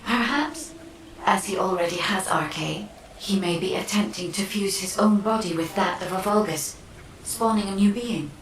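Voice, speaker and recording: A composed, analytical female science officer from a video game cutscene acted by a woman. She speaks precisely and evenly at a middle pitch. The sound is echoey and distant, with some noise. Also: moderate pace, lightly acted.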